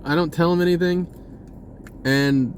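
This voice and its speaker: Higher-voiced American guy, rambling and slangy. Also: steady tone, unhurried, slight background noise. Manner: rambling, slangy, self-interrupting. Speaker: vlogger sharing spiritual self-help advice. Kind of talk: casual unscripted personal storytelling.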